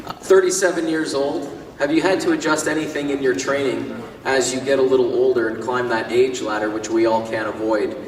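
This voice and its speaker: A man's voice: medium pitch, flat delivery, fairly quick. A man moderates a press conference, putting questions in an easygoing, conversational tone. He is heard from some distance in a large hall with a long, echoing reverb and faint noise behind. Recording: echoey hall, far from mic.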